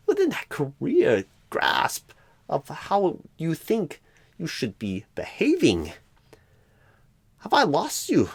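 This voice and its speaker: A clueless, eccentric job recruiter, acted out by a man in an ASMR roleplay. His voice is husky and breathy, often sinking into a whisper, and it swings wildly up and down. He rambles slowly, breaking sentences in odd places. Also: on the high side, no echo, exaggerated voice. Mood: rambling, musing, then confused. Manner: halting, oddly broken phrasing, rambling.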